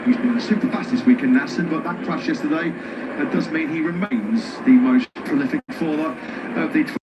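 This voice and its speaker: A man's voice: fairly high pitch, lively intonation, moderate pace. A man working as lead commentator on a live motorsport broadcast. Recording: dull audio over loud trackside noise.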